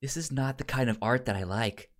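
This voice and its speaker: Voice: mid-range voice, brisk. A podcast co-host in casual chat, rambling along and often repeating words.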